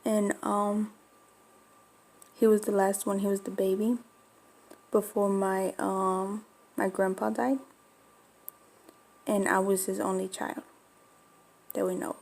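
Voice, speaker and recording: A woman's voice: mid-range voice, flat delivery, slow, vocal fry, long pauses, a little mellow, poor audio. A woman from Florida with a slight Mexican Spanish accent, whose first language was Spanish, telling a personal story in a rambling, confiding way on her vlog. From Florida, USA. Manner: rambling, confiding, many fillers. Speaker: bulldog breeder vlogger.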